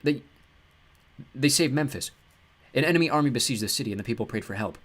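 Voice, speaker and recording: A man voicing a nervous, gentle teenage boy who stammers as he explains. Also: mid-range voice, lively intonation, fast talker. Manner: stammering, hesitant.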